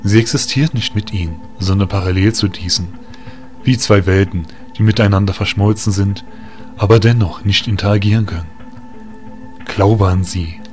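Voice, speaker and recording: A man plays a wise, kindly faun in a fantasy audio drama. He speaks low, measured and solemn, soothing in manner, with music underneath. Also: darkish tone, close to an everyday voice. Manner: measured, conciliatory.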